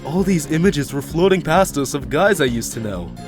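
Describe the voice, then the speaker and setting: A laid-back, dreamy male yak drifts through his story in a drawl, as a man acts him out. His voice sits a bit high and swings widely up and down. Music plays clearly behind him. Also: lively intonation, normal speed. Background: background music.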